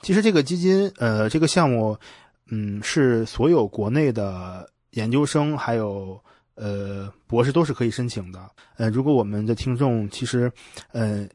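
A man with a mid-pitched voice hosts a relaxed interview chat in a very dry recording. He feels his way into questions with informal, slightly hesitant phrasing. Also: normal speed, no echo. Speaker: podcast host, interviewer.

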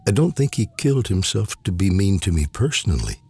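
A man reading a literary poem aloud with measured, deliberate phrasing. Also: sits low, very animated, gravelly, dark tone, slightly rough. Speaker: poetry reader.

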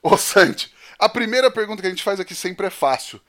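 A man with a Brazilian accent and a fairly high-pitched voice hosts a casual interview. He talks informally, with slang, like someone chatting with a friend. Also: lively intonation, fairly quick, fairly bright tone. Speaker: podcast host, interviewer. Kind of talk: casual chat.

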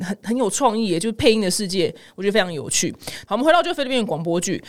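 Taiwanese mandarin lady doing casual news podcast, talks really fast, chatty and slangy. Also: on the low side. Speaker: podcast host.

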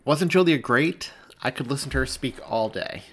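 A man's voice: medium pitch, animated, normal speed, a bit bright, slightly rough, soft background music. A man speaking as a podcast host, chatting to listeners and plugging the show. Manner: chatty, promotional. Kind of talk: podcast outro addressed to listeners.

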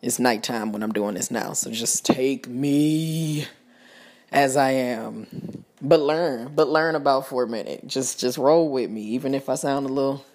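Husky, worn-out podcast host. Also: mid-range voice, unhurried, darkish tone. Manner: chatty, laughs often, talks straight to listeners. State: worn out, rough-voiced. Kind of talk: casual album review.

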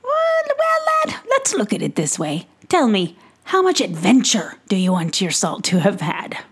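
A woman putting on a jolly male pixie peddler from a storybook, loud and showy, with a husky, gravelly grain. He stretches his sales patter out slowly and swings it high and low. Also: huge pitch swings, heavily acted. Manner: booming, drawn-out salesman patter.

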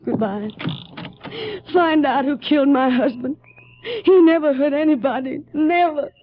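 A woman playing a distraught, newly widowed mother in a 1950s radio drama, halting and pleading, near tears. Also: sits high. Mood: grieving, distraught. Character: grief-stricken newly widowed mother in her forties. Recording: thin phone-like vintage radio sound.

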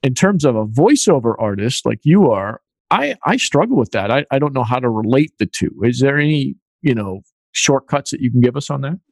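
A man's voice: medium pitch, animated, fairly quick, gravelly, no echo. A man hosting an interview, asking casual questions and often repeating words as he starts a thought. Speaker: podcast host.

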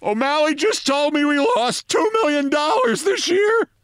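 A man voicing a panicking, high-strung baseball executive who shouts frantically in a story. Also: expressive, moderate pace, no echo, studio quality. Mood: panicked shouting. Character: panicking, high-strung baseball general manager, near hysterical.

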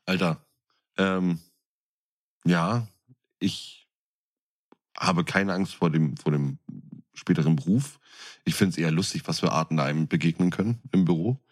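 A man's voice: sits low, a little slow, long pauses. A man with a German accent talking casually and informally as a podcast co-host.

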